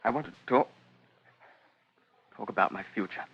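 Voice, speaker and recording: An actor playing a troubled, secretive young British gentleman in an old radio drama, speaking hesitantly and intensely. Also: on the low side, normal speed, vocal fry, breathy edge. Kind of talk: 1940s-50s radio drama acting. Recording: dull old radio broadcast, faint hiss.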